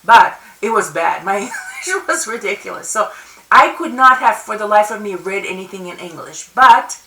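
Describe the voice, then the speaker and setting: A woman's voice: mid-range voice, sharp tone. A middle-aged woman whose first language is Russian, telling a personal story in a loose, rambling way with restarts and laughs, speaking to a webcam in her home study. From Soviet Union. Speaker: convention speaker. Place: home study with bookshelves and plants. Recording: roomy webcam audio from a distance.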